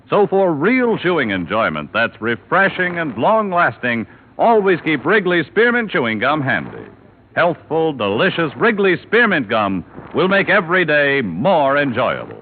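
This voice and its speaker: A man's voice: on the high side, slight background noise. Upbeat 1950s radio announcer man. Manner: smooth upbeat announcer delivery. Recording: tinny old radio broadcast.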